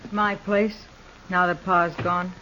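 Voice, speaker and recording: A woman's voice: lowish voice, little pitch movement, takes it slowly, sharp tone. An actress playing a fearful, subdued young frontier woman with hesitant, terse speech in a radio Western. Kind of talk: 1950s radio Western acting. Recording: muffled, very noisy old radio recording.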